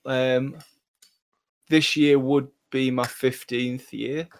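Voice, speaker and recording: A man's voice: medium pitch, level tone, very slow. A man co-hosting a podcast, talking casually in a laid-back, joking way and swearing freely. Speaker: podcast co-host. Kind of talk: casual chat.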